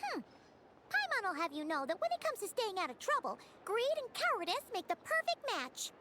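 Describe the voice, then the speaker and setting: A woman's voice: very high voice, huge pitch swings, brisk, heavy background noise, heavily acted. A woman voicing a small, childlike, cheeky fairy companion in a video game. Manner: speaks of self in third person.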